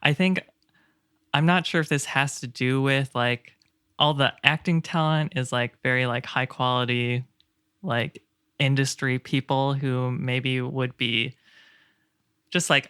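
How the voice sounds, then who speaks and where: A man in a casual discussion, speaking in a hesitant, thoughtful way with halting phrasing. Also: mid-range voice, steady tone, bright, forceful tone, clean tone, no room sound, clean studio sound.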